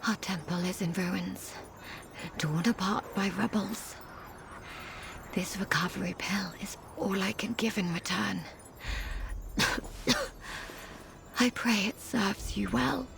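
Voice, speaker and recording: Weary, creaky-voiced swordswoman. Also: mid-range voice, background noise. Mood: weary, pleading. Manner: solemn, halting, formal. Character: weary, defeated temple swordswoman, solemn and humble. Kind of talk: video game cutscene acting. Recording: background music under the voice.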